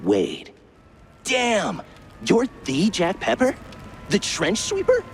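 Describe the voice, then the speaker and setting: A mid-pitched, casual cartoon mouse stage designer, chummy and a little hesitant. The delivery is lively and excitable, noir game acting over faint background noise. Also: male voice, slow pace, full character voice. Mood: astonished.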